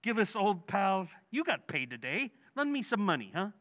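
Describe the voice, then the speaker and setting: A man acting a guilt-ridden working-class husband from an old-time radio comedy. His voice is high and bright, leaping up and down as he pleads and frets earnestly. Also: very animated, moderate pace. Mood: worried, pleading.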